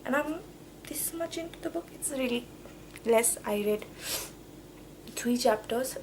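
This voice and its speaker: A woman's voice: high voice, unhurried, darkish tone, a little hiss or hum. A young woman with a cold, chatting casually as a book vlogger, emphatic and repeating words. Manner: emphatic, repeats words and phrases, says okay often. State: has a cold. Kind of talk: casual vlog chat, book reviews.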